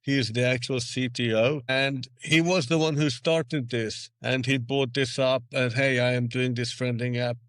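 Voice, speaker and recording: Sixties male guest from Hungary, earnest explainer, smooth even voice. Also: mid-range voice, moderate pace, few pauses, no room sound. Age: 60s. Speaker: podcast guest. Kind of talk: interview answers.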